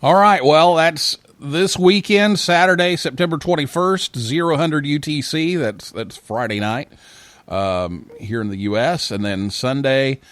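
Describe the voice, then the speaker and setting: A man's voice: fairly high pitch, measured pace, fairly bright tone, no room sound. An American man casually hosting a live talk show.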